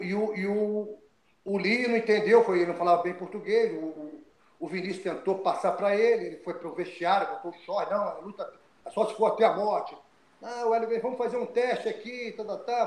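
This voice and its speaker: An older man from Brazil talks as an interview guest in a voice pitched high for a man. He is animated, repeats words and restarts his sentences. The sound carries an echo from the room. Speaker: guest, retired fighter. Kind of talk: storytelling interview.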